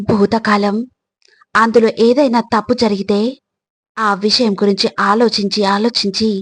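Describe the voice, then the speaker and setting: నెమ్మదిగా, సమానంగా మాట్లాడే స్త్రీ. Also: female voice, mid-range voice. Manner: calm, measured delivery with rhetorical questions to the listener. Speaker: motivational speaker. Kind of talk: slow scripted motivational narration.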